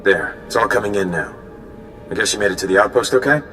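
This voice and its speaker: An idealistic young resistance mole talks earnestly and warmly in a lowish voice. He sounds friendly and sincere. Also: quick pace, soft background music.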